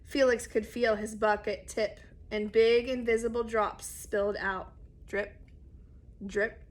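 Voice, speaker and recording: A woman reading a children's picture book aloud expressively and deliberately, speaking straight to young listeners. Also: fairly high pitch, flat delivery. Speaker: read-aloud storyteller.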